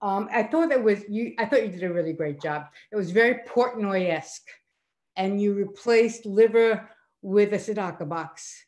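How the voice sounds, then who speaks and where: A woman's voice: medium pitch, moderate pace, a little mellow. An older woman with a mid-range voice gives spontaneous feedback as a judge. She speaks emphatically and is openly complimentary. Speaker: guest judge at a story slam. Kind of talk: spontaneous spoken critique.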